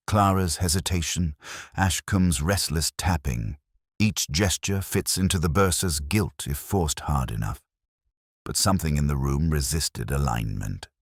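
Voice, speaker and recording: An older man narrating a first-person detective story in a measured, solemn, reflective manner. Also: rumbling low, no room sound, studio quality.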